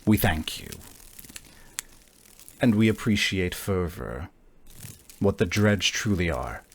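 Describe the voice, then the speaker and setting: A man acts out a formal tabletop game envoy with a low voice. The delivery is very unhurried and halting, each phrase carefully measured and grave. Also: animated, breathy edge, full character voice. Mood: solemn, cautious.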